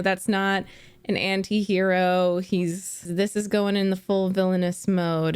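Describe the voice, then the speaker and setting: Chatty, slow-talking woman reacting. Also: on the low side, smooth. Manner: chatty, giggly, musing aloud. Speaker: reaction video host. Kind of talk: casual reaction commentary.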